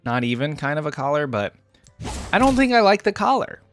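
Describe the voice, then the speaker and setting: A man's voice: fairly high pitch, sing-song, fairly quick, soft background music. A man giving casual, off-the-cuff game reaction commentary, rambling as he thinks aloud. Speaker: reaction video host.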